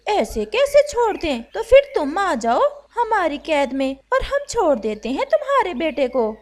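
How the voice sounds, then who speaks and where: A woman's voice: extremely high pitch, big pitch swings, measured pace, exaggerated voice. A woman voicing a covetous, scheming female crow in a cartoon story, demanding and wheedling. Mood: gloating. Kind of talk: Hindi animated moral story dialogue.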